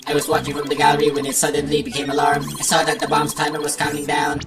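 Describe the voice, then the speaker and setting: A low-voiced, literal-minded male technician played by a woman in a video game fan dub. He speaks in clipped, formal phrases like a machine, sounding distant and echoey over music. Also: monotone, quick pace. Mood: precise, flat. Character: robotic, precise male bomb technician and shifty witness.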